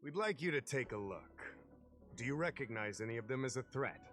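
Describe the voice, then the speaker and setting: A man's voice: mid-range voice, lively intonation, fairly quick, a bit bright, close to an everyday voice. A man voicing a polite, formal, businesslike investigator in an anime-style video game. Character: courteous, businesslike male investigator. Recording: game music behind the voice.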